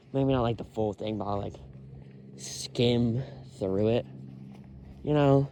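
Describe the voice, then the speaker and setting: A man's voice: medium pitch, a little mellow, a little hiss or hum. A young man rambling on a solo walk with many fillers and sighs, recorded on a phone in his pocket outdoors. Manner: rambling, filler-heavy, sighing often. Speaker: vlogger. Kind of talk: rambling walking vlog. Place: outdoors on a quiet road.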